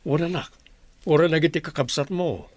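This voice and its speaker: A man's voice: fairly high pitch, brisk, darkish tone, dry recording, close to an everyday voice. A man playing a caring, hardworking father in a family audio drama, advising in a solicitous paternal way. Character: caring, hardworking father, gently advising his grown children.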